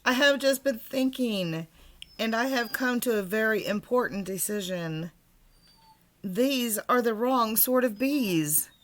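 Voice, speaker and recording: Storybook role: small self-important boy bear, earnest and muddled, pitched up by a woman reader, deliberate delivery. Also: animated. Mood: solemn, decided. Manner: deliberate, earnest, slightly pompous.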